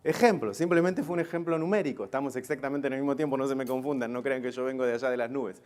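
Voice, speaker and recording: A man's voice: medium pitch, fast talker, darkish tone. A man teaching a Bible class in a didactic way, posing rhetorical questions and often checking that his listeners follow. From Israel.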